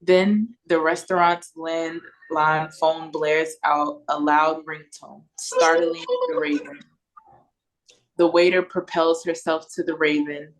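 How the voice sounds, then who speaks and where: A woman's voice: low-pitched, fairly even intonation, slow pace, poor audio. A woman reading stage directions as narrator in a scripted read-through over a video call.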